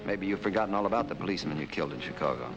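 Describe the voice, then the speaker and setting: Sardonic American police detective, curt rapid patter, deep voice, 1940s crime film. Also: male voice, animated, dull audio, music in the background. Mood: curt, sarcastic. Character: hard, sarcastic male police detective. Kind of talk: 1940s film noir acting.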